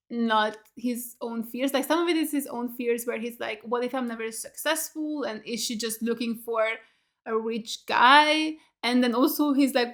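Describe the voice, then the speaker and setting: A chatty young woman in her twenties talks through a show recap from a small home studio, her voice sitting a little high. She fills her talk with "like" and "right?", and her throat sounds a bit tired. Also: a bit bright. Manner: chatty, lots of fillers and rhetorical questions, gestures with hands. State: voice getting tired. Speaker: reaction video host. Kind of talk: casual recap chat.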